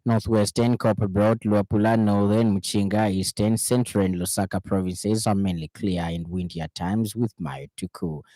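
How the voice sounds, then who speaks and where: Low-pitched Zambian newsreader, formal man. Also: fairly even intonation, mellow, no room sound, poor audio. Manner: formal, measured delivery. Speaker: radio news presenter. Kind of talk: scripted news bulletin reading. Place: radio studio.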